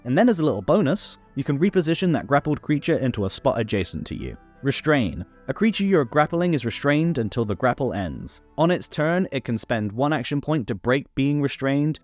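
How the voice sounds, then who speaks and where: A man hosting a tabletop game rules series, delivering scripted explainer narration in an instructional way. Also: medium pitch. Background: background music.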